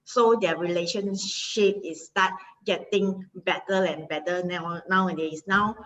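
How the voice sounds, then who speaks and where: A woman hosting an online sharing session, talking in a halting way with many fillers and restarts. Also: lowish voice, fairly even intonation, a bit bright. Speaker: host. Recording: distant, lo-fi online call audio.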